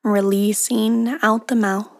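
A woman leading a guided meditation in a hushed, breathy, soothing delivery with long pauses between phrases. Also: medium pitch, flat delivery. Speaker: meditation guide, podcast host.

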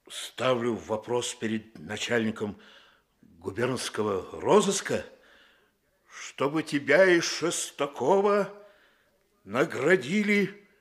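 A proud male police chief in a Soviet radio drama. He speaks extremely slowly and deliberately. His pitch moves widely as he hammers home each phrase with emphasis. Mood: solemn. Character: police detective chief, proud, ponderous, congratulatory superior. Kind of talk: Soviet radio play acting.

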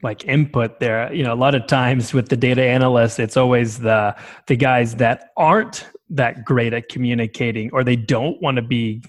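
A man hosting an interview podcast, relaxed and affirming as he asks questions. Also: mid-range voice, moderate pace, few pauses. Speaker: podcast host. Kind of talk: casual interview chat.

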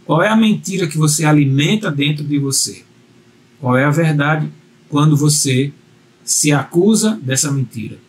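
A man with a slightly high voice gives a motivational video lesson on speaking and self-confidence. He talks straight to the viewer in an earnest, repetitive way, pressing each point home. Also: normal speed, slight background noise. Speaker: communication coach.

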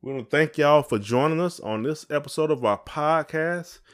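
A man's voice: on the high side, normal speed. A man with a Southern US accent co-hosting a podcast in a casual, folksy, playful and rambling way. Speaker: podcast co-host. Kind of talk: casual chat.

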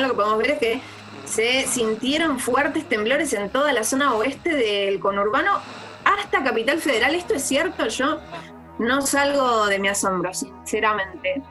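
A woman playing a flustered radio host with a Buenos Aires accent, halting but trying to stay composed on air in a radio drama. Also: a little high, animated, sharp tone, soft background music. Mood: shaken, controlled. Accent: Buenos Aires Argentine. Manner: hesitant, halting, formal on-air phrasing.

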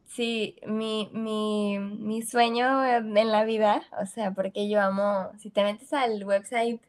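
A woman's voice: mid-range voice, flat delivery, slow, clean tone. A young woman from Mexico with a Mexican accent, chatting casually as a podcast guest in a slangy, easygoing way and laughing often. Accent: Mexican Spanish. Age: young adult. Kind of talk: casual chat.

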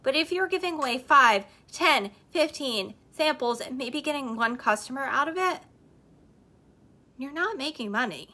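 A woman with a high, bright voice and a slight hoarseness talks straight at her listeners. She delivers business advice as a host, emphatic and repetitive, driving each point home. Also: animated, normal speed. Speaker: podcast host and network marketer. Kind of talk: motivational business talk on a live stream.

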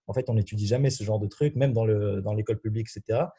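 A man's voice: lowish voice, racing, mellow, breathy edge. A young man from Morocco talking casually about himself as a guest on a student podcast. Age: young adult. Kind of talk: casual personal chat.

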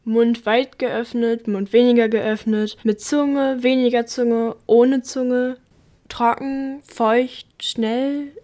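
A woman in a radio play slowly listing possibilities as a question. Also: fairly high pitch, steady tone, dark tone. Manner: slow, list-like questioning.

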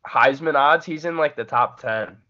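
Measured man chatting sports on a podcast. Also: on the high side, bright, forceful tone, dull audio. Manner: measured, conciliatory, frequent restarts. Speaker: podcast co-host. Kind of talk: casual sports debate.

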